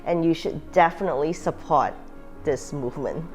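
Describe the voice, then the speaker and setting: A woman from Malaysia, a tea room owner speaking in a relaxed, conversational way in a documentary interview. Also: low-pitched, normal speed. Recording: faint music underneath.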